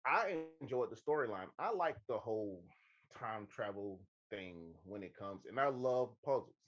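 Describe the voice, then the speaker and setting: Lively man on a dull lo-fi mic. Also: fairly low pitch, moderate pace. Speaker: podcast co-host. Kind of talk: casual chat. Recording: dull, lo-fi microphone sound.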